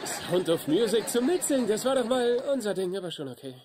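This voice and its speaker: An actor in a German sitcom dub playing an eager, boastful, needy young astronaut who is easily slighted. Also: male voice, high voice, animated, brisk, a crowd in the background, background noise. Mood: wounded pride.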